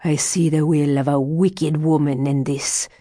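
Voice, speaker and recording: A woman plays a withered old fortune-teller man from a gothic storybook. He is sly and superstitious, speaking low and very slowly. His foreboding words break into exclamations and muttered asides. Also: fairly even intonation, mellow, no echo, studio quality. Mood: grave, knowing. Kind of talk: audiobook character dialogue.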